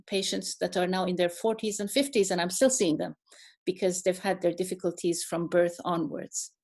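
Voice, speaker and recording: A middle-aged woman from Iran giving an online talk on her career, speaking thoughtfully with many pauses, fillers and restarts. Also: medium pitch, darkish tone. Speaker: guest speaker, neuroscientist.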